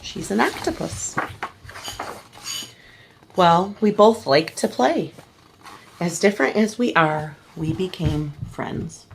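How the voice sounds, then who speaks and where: A woman reading a children's picture book aloud at a church service, gently and slowly, with small restarts. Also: fairly low pitch, lively intonation, gravelly, a little hiss or hum, poor audio. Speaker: story reader at a church service.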